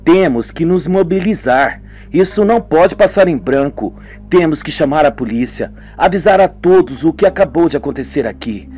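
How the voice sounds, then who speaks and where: Brief: alarmed young man taking charge narrator's own husky voice, lively pitch. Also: soft background music. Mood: alarmed urgent. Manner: urgent, hurried when giving orders. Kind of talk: homemade radio drama.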